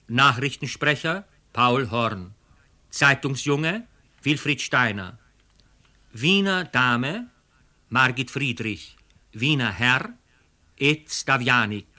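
Slow, bright-voiced male radio announcer. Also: medium pitch. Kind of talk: formal scripted credits reading.

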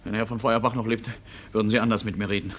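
An actor playing a stubborn, hurt teenage foundling in an old German radio play, curt and sulky. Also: male voice, on the low side, fairly even intonation, fast, close to an everyday voice. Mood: sullen defiance.

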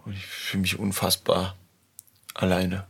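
A man recording a halting voice diary while in pain, sounding subdued and lonely. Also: deep voice, monotone, creaky, fairly bright tone, breathy edge. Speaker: audio diary speaker. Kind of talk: confessional voice diary.

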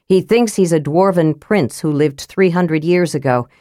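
A woman's voice: on the low side, unhurried, dark tone, no room sound, clean studio sound, lightly acted. A woman voicing a good-natured young male warrior who talks in an easygoing, reasonable and slightly weary way. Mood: earnest.

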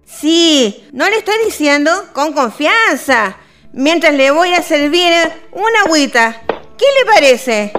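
High-pitched, scheming Chilean housewife. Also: female voice, big pitch swings, slow pace, fairly bright tone, heavily acted. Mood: hospitable, coaxing. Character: flirtatious, bossy married woman with a jealous husband. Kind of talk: radio play acting.

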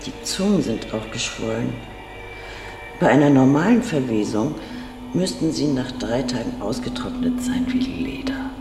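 An actor playing a polite, evasive Soviet forensic doctor in a radio crime drama, speaking in a deliberate, clinical way. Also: male voice, medium pitch, expressive, creaky. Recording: reverberant morgue-like room with music.

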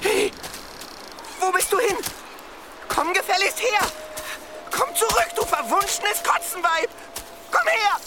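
Obsessed vengeful fanatic, slow delivery, wildly varied pitch, radio drama, loud music behind. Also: sing-song, exaggerated voice. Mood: agitated, shouting. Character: unhinged religious zealot, vengeful, hateful, obsessed.

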